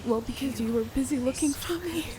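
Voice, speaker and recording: A woman acting as an anguished, fragile young daughter in a radio play, halting and pleading as she speaks. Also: sits high, background noise, lightly acted. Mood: grieving, apologetic. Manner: halting, breathless pleading with repeated words.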